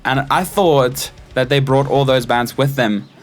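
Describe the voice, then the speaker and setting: Man, twenties, South African accent, average pitch, casual interview guest, anecdotal conversation. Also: unhurried. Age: 20s. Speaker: interview guest, stage crew technician. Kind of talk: casual chat, personal anecdotes.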